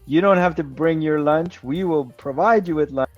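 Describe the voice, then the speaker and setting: A man's voice: on the high side, moderate pace, dull audio, faint music behind. A man tutoring in a conversational online lesson, explaining with short acted-out examples. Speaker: English tutor. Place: online video call.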